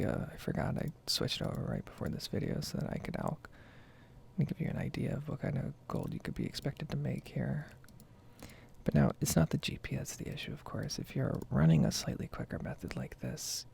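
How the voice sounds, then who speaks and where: A woman speaking in a soft, breathy whisper, rambling casually in an ASMR style as she comments on her own gameplay. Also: rumbling low, slight background noise. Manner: casual, meandering. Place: basement at home.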